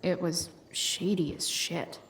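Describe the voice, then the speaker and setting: A woman's voice: fairly low pitch, steady tone, slow pace, fairly bright tone, recorded from a distance, slight background noise. A woman acting a sardonic, rebellious American teenage girl in a video game, with a dry, slangy delivery. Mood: snarky. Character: sardonic rebellious teenage girl, a punkish high-school dropout.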